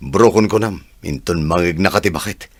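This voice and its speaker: A man's voice: low-pitched, husky, no echo, heavily acted. A man playing an easygoing engineer and site boss in an Ilocano comedy radio drama, with a Filipino accent.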